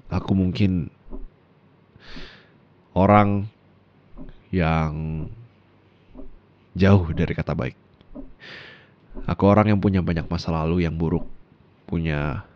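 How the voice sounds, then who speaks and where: A man's voice: low-pitched, muffled recording. A man playing a tender young boyfriend speaking in a taped message for an ASMR roleplay, heartfelt and teasing. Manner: soft, heartfelt, teasing with laughs.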